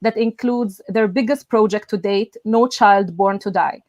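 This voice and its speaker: A young woman from Egypt, a native Arabic speaker, giving an earnest, measured welcome speech as event host over a video call. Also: medium pitch, no echo, dull audio. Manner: earnest, measured, with soft filler sounds. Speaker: event host, student union president.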